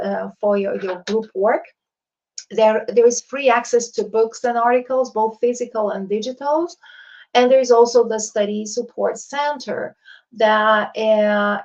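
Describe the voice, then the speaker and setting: A woman's voice: mid-range voice, a little mellow, dull audio. A woman from Brazil with a Brazilian accent, a university coordinator giving an informational presentation, hesitant with frequent fillers and restarts. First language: Portuguese. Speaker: international coordinator.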